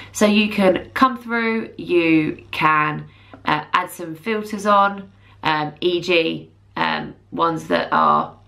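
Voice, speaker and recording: Woman doing a software walkthrough, lowish voice with some fry, explains step by step. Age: 30s. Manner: plain-spoken step-by-step explaining. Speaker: software tutorial presenter. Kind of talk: instructional screen walkthrough.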